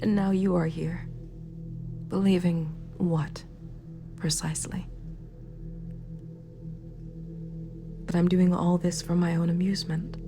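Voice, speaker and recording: A woman playing a remorseful, sympathetic villain ruler in a scripted ASMR roleplay, speaking in a hushed, breathy, formal and measured way. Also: on the low side, level tone, natural voice. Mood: cold, sorrowful. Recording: clear background music. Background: dark, spooky background music.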